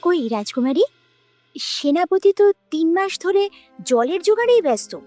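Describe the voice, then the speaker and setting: A woman playing a teasing, chatty young maid companion to a princess in an animated fairy tale. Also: extremely high pitch, measured pace, faint music behind, full character voice.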